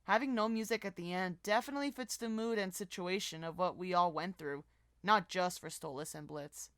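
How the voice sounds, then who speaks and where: A woman's voice: fairly low pitch, brisk, fairly bright tone, no echo, clean studio sound. A woman co-hosting a video, reading scripted commentary with casual asides over background music. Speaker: video co-host. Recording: music playing behind the voice. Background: music bed.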